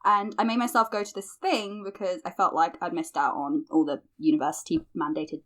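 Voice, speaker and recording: A woman's voice: on the low side, fairly even intonation, a little fast, fairly bright tone. A woman in her twenties with a British accent, playing a self-deprecating, awkward amateur podcaster in an audio drama, chatting in dry, hesitant asides. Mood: wry reminiscing. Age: 20s.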